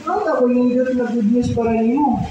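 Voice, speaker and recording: In a noisy, very echoey radio drama recording, a man plays an affectionate boyfriend in his thirties. His voice is high and casual, with a Filipino accent. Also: a little fast. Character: sweet-talking 37-year-old boyfriend, a fast food manager. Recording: very echoey, distant, dull, noisy.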